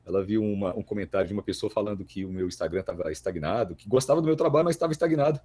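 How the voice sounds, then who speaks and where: A Brazilian man in his thirties with a fairly low voice talks as a podcast guest. He explains things casually and haltingly, often checking in with small tag questions. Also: darkish tone, slightly rough. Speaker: guest, voice actor.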